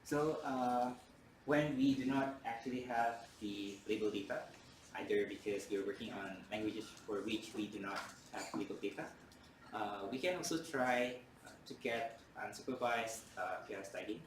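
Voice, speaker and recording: A man whose first language is Indonesian gives a university lecture in a lowish voice, stopping often on fillers and restarting his sentences. He sounds far from the mic in a roomy hall, with faint room hum behind him. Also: normal speed. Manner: hesitant, many fillers and restarts.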